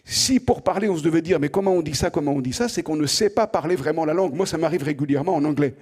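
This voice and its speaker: A man's voice: fairly high pitch, fast, a little mellow, husky. A middle-aged French man giving an opening lecture to students in a conversational way, often asking the room direct questions and repeating words as he thinks. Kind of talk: lively spoken lecture to students.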